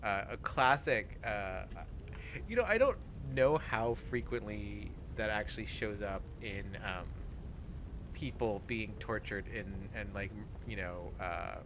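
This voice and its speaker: A man's voice: on the low side, expressive, slow, noisy background. A man giving casual, rambling let's play commentary as he plays a video game, swearing freely. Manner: rambling, profane. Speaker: host playing a video game.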